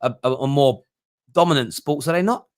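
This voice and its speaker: A man's voice: mid-range voice, normal speed, a little hiss or hum. A man hosting a football fan livestream, chatting bluntly and emphatically about viewer comments and often repeating himself. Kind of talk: casual live fan talk, reading out viewer comments.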